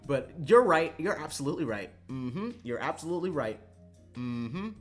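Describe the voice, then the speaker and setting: A man streams a visual novel with a lively, swinging delivery. He is irreverent and casual, dropping profanity and jokes between read-alouds. Faint game music plays behind his voice. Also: on the high side. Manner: irreverent, profane joking, quick to laugh.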